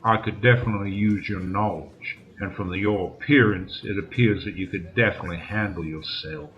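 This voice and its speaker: A man playing a grave, courteous police inspector with a formal, deliberate manner in an old-time radio drama. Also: sits low, muffled recording, close to an everyday voice. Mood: polite, decisive.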